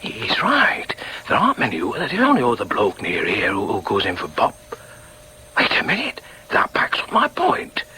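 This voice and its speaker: An actor playing a proud, argumentative middle-aged socialist shop steward in a radio drama, with a Northern English accent and an emphatic, halting delivery. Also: male voice, on the low side, huge pitch swings, sharp tone, slight background noise, heavily acted. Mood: muttered, scheming. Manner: emphatic, halting repetitions, muttered inner asides. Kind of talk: naturalistic radio drama with inner asides.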